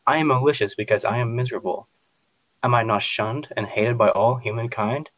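A man's voice: medium pitch, fairly quick, fairly bright tone, dry recording, lightly acted. A man voicing a miserable, spiteful monster who speaks formally and with menace to his maker. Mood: aggrieved.

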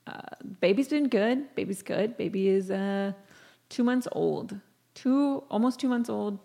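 A woman hosts a solo podcast in a loose, rambling chat. Her mid-pitched voice is scratchy and gravelly, tired from little sleep and a lot of talking. She is slangy, exasperated and self-deprecating. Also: dark tone. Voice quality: scratchy, croaky. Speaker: podcast host.

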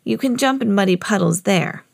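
A woman voicing a gentle, patient, motherly pig in a children's storybook. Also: mid-range voice, moderate pace, creaky. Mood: sleepy. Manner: patient, instructing.

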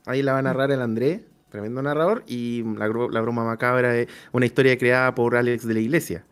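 A man's voice: mid-range voice, fairly even intonation, a little fast, clear, resonant tone. A man with a Chilean accent chatting casually and colloquially as co-host of a role-playing livestream. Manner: colloquial, slangy.

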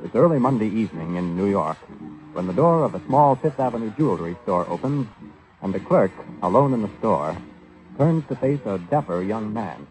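A man's voice: lowish voice, sing-song, normal speed, darkish tone, faint music behind, rough recording. A man announcing and narrating in a dramatic, scripted 1940s radio style.

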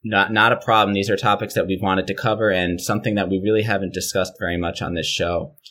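A man hosting an interview podcast, introducing his guest in a measured, formal way. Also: low-pitched, level tone, pushed and bright, breathy edge. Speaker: podcast host.

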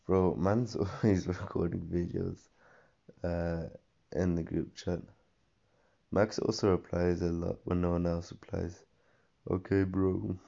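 A young man rambling casually to himself with slangy talk, lots of fillers and restarts, his voice going hoarse. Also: low voice, level tone, slow pace, dark tone. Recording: dull lo-fi audio.

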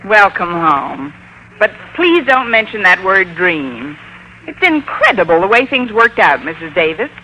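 An actress playing a sardonic, wisecracking English teacher with dry, pedantic delivery and wounded pride, in vintage radio comedy style. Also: female voice, medium pitch, sing-song, unhurried, pushed and bright. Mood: wry, glum. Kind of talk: 1940s-50s radio comedy acting. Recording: faintly noisy old radio recording.

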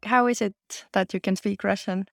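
Woman interviewer, vocal fry, curious and encouraging, mid pitch. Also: fairly even intonation, moderate pace, dry recording, studio quality. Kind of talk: casual interview conversation.

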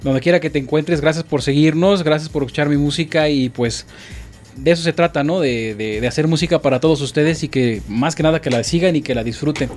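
Relaxed man chatting on radio, music under. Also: on the high side. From Mexico. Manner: relaxed, halting with frequent fillers. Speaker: musician guest. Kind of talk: casual radio chat.

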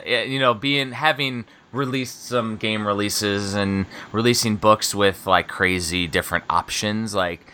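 Laid-back American man with a bright edge. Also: low-pitched, slight background noise. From United States. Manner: laid-back, rambling, laughs often, many fillers. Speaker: podcast host and book publisher. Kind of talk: casual interview chat.

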